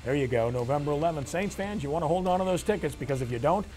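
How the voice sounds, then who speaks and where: Higher-pitched punchy man hosting sports. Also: expressive, quick pace, darkish tone, faint music behind. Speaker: sports talk show host. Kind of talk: sports show commentary and read promos.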